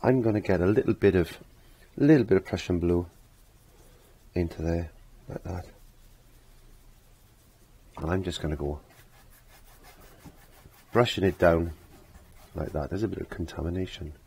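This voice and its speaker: A middle-aged man with a croaky voice giving a casual step-by-step painting lesson, rambling as if to himself and repeating his phrases. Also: low voice, unhurried, pauses often, a little mellow. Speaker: painting instructor. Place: indoor home art studio.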